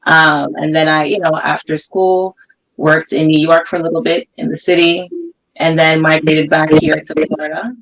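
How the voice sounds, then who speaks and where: Chatty woman from Canada, slightly low voice. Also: moderate pace. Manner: relaxed and chatty, many fillers. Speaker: guest business owner. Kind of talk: casual chat. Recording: distant remote mic.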